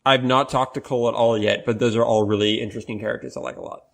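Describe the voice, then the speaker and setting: A man's voice: fairly low pitch, steady tone, fast talker, gravelly. A man chatting casually as a podcast co-host. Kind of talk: casual chat.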